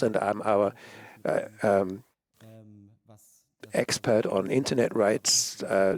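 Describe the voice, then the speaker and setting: A man speaking as a press freedom advocate at a conference panel, hesitant, with many fillers and throat clearing. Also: mid-range voice, darkish tone.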